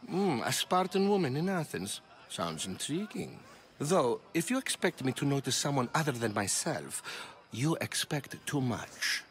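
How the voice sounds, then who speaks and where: Pompous, animated Athenian wit. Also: male voice, medium pitch, unhurried. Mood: haughty. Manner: pompous, arch. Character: vain, witty, disdainful male Athenian intellectual. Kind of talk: video game cutscene acting.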